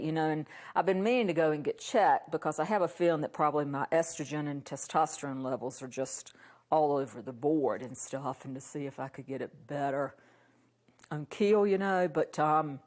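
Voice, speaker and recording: A middle-aged woman with a deep voice talks in a casual, rambling way. She keeps adding thoughts as she goes. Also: moderate pace, darkish tone. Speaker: interviewee. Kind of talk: personal reflection.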